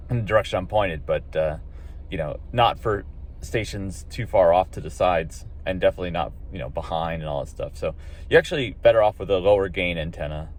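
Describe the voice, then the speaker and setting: A man with a low-pitched American voice talks casually through an explanation. He thinks out loud as he goes, halting and doubling back on his phrases like a hobbyist vlogger.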